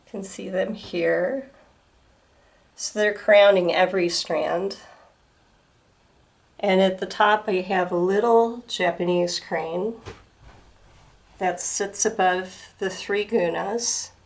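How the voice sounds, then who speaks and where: A woman at home gently explaining a handmade display to a camera, her voice slightly hoarse from a starting cold. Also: mid-range voice. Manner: gentle, unhurried, pausing to explain details. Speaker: student presenting a craft project. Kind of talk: slow show-and-tell explanation. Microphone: camera filming a display.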